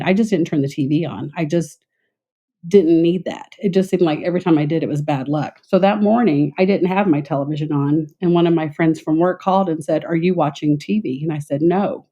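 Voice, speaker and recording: Mellow-voiced woman, former air traffic controller. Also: low voice, muffled recording. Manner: measured, frequent fillers and restarts. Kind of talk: conversational interview storytelling.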